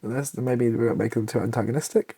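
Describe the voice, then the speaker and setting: A man's voice: medium pitch, fairly even intonation, quick pace, mellow, slightly rough. A British man thinking aloud in an ASMR style as he writes, tentative, wry and self-mocking. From Britain. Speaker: ASMR video creator. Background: keyboard typing and clicks.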